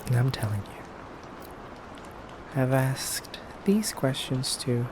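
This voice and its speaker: A man playing a menacing, teasing male vampire captor in an ASMR roleplay, speaking softly and hesitantly. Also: mid-range voice, sing-song. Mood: knowing, composed. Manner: slow, hesitant, teasing, quietly threatening. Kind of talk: ASMR roleplay addressed to the listener. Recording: clearly noisy background ambience.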